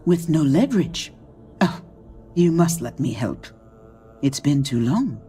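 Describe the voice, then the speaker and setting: Arch, swooping corporate wife. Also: female voice, sits low, very animated, a little slow, dark tone, faint music behind. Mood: arch, sly. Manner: gushing, arch, theatrical. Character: charming, teasing, cunning corporate executive wife. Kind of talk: video game voice acting.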